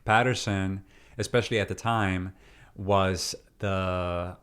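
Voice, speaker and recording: A man in his thirties, originally from Peru, talking through his life story as a guest in a relaxed interview, with many fillers and restarts. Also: deep voice, takes it slowly, clean tone. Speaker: deacon and seminarian, interview guest. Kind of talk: casual interview conversation.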